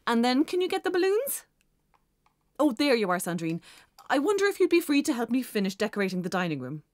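An actress playing a busy, fussy hotel woman in a children's audio story, with a brisk, expressive delivery. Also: a little high, no room sound, studio quality. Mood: brisk, fussing.

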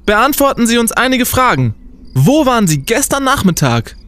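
An actor playing a pompous, blustering young team captain in a comic radio play. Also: male voice, very high-pitched, very animated, normal speed, fairly bright tone, full character voice.

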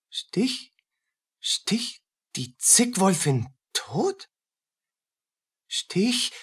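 A woman's voice: mid-range voice, fairly bright tone, breathy edge, full character voice. A woman playing a tormented, jealous male soldier in a radio play, agitated, speaking in broken, repetitive bursts. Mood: haunted, deranged. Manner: agitated, fragmented, obsessive repetitions. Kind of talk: dramatic radio play acting.

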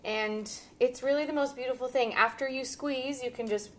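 A woman's voice: high voice, steady tone, moderate pace. Upbeat woman teaching in a kitchen. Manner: upbeat, instructive, laughs often. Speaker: cooking show host and teacher. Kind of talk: casual cooking demonstration. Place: home kitchen, indoors.

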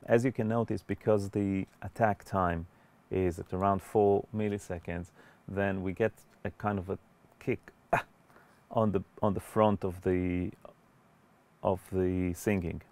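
Middle-aged man, very low voice, creaky fry, measured tutorial delivery, studio instructor. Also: steady tone, a little mellow. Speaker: music producer and mixing instructor. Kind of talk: explanatory webinar tutorial. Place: indoor recording studio.

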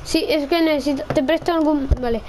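High-pitched young Spanish gamer woman. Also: steady tone, a little fast, a crowd in the background. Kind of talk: casual gameplay role-play chat.

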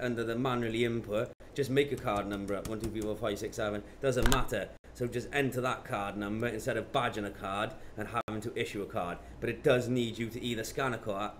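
A man, talking matter-of-factly through steps in an informal software tutorial. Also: on the low side. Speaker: presenter of product tutorials. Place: indoor office. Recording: clear background noise.

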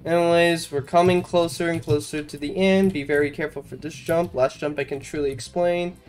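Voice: mid-range voice, steady tone, brisk, darkish tone. A casual game commentator talking through a walkthrough in an informal way, with self-mocking asides. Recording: music behind the voice. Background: game music.

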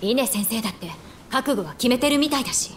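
A woman's voice: on the high side, animated, fairly quick, a little hiss or hum, close to an everyday voice. A woman playing a spirited, frank young woman, a doctor's daughter, in a Japanese video game, casual and teasing. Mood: determined. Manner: casual, teasing, self-assured.

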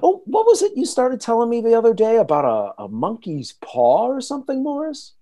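A genial old father played by an actor in a radio play, full of curiosity. The delivery is hearty and chatty, with pitch swinging very widely up and down. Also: very animated, brisk, mellow, lightly acted. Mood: curious.

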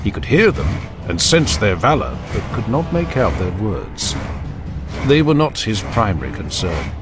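A man narrating a dramatic audiobook in a solemn, deliberate storytelling manner. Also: fairly low pitch, very animated, music in the background.

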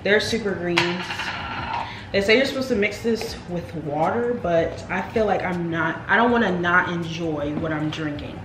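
A woman's voice: on the low side, normal speed. Chatty young woman in an echoey kitchen. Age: young adult. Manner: chatty, playful, talks straight to viewers. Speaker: vlogger. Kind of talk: casual vlog chat to camera. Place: home kitchen, indoors. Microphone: camera on the counter. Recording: echoey kitchen with household noise.

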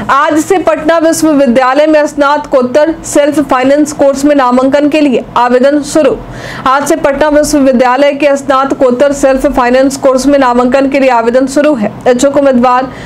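Young female news anchor: high, level voice, steady studio read, faint hiss. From India. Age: young adult. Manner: steady, even newsreading delivery.

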